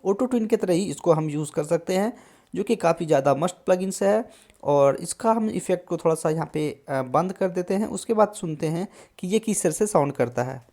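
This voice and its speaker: A man hosting a casual tutorial on music software, explaining step by step and often adding short check-in tags. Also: high-pitched, expressive, brisk. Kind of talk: casual screen-recorded tutorial.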